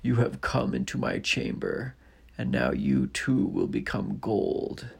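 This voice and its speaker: A man voicing a huge, greedy, gold-hoarding dragon in a bedtime story, speaking in slow, ominous pronouncements. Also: medium pitch, big pitch swings, creaky, breathy edge, exaggerated voice. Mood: menacing. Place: home, at bedtime.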